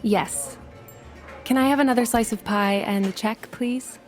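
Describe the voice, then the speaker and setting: Polite female customer acted by a woman, very slow speech, audio drama, soft music behind. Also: fairly high pitch, close to an everyday voice.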